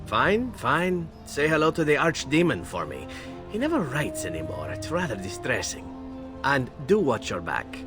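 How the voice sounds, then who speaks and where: An actor playing a teasing, roguish adventurer in a video game, playful and mock-wounded. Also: male voice, mid-range voice, wildly swooping pitch, normal speed, over music.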